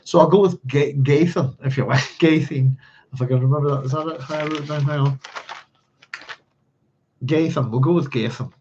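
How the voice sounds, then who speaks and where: A man's voice: fairly high pitch, normal speed, long pauses, muffled recording, background noise. A man with a Northern Irish accent hosting an informal book club, talking through a novel in a rambling, scattered way and often thinking aloud. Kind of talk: informal read-along commentary on a novel.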